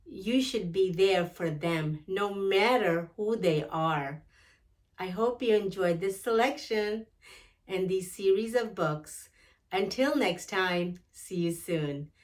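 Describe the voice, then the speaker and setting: A woman's voice: medium pitch, recorded from a distance. A woman reading a picture book aloud to children as a storyteller, instructing and putting questions directly to young listeners.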